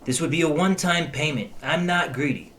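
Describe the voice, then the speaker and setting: An actor in an audio drama playing a cagey, pushy, touchy informant, insistent and edgy in manner. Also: male voice, on the high side, fairly quick, fairly bright tone, natural voice.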